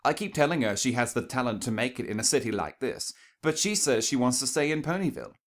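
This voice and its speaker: A man's voice: medium pitch, big pitch swings, fast, voice pushed up, natural voice. A man voicing an elegant, refined female unicorn in a storybook reading, with a genteel, affected manner. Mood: airy, chatty. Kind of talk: storybook character voice in fan fiction reading.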